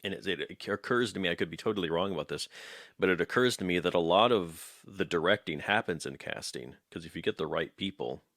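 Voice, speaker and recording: A man's voice: low voice, brisk, fairly bright tone, dry recording, studio quality. A man chatting casually as an interviewer who also produces audio drama.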